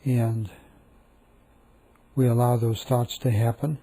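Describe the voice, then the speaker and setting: Slow, very mellow meditation guide man. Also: mid-range voice, breathy edge. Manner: calm, soft, repetitive with long pauses.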